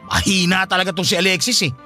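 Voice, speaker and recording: A man's voice: sits high, fairly even intonation, quick pace, pushed and bright, faint music behind. A man with a Filipino accent playing a scornful, taunting brother in a radio drama. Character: scornful older brother mocking a couple's breakup.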